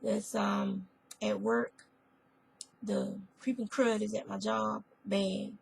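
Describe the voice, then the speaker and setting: A woman whose voice is hoarse from a spreading cold, chatting casually in a crafting vlog and often rambling and correcting herself. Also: medium pitch, level tone, slow pace, slight background noise. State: hoarse, losing her voice. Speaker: fiber arts vlogger. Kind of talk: casual chat.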